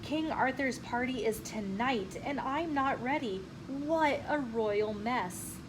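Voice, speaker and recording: A woman's voice: high-pitched, slow. Woman playing a flustered storybook noblewoman, noisy room. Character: harried noblewoman hostess, flustered and practical. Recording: clearly noisy room with ambient sound.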